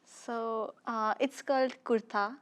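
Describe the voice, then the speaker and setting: A young woman from Nepal with a Nepali accent, calmly explaining as a student guest in an interview. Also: a little high, clear, resonant tone. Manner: calm, explanatory, with "uh" pauses. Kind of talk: casual interview talk.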